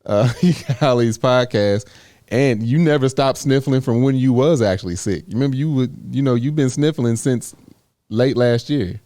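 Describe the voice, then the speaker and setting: A man's voice: medium pitch, normal speed, dark tone, no room sound, studio quality. A man co-hosting a podcast in casual, plainspoken chat, laid-back and teasing. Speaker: podcast co-host.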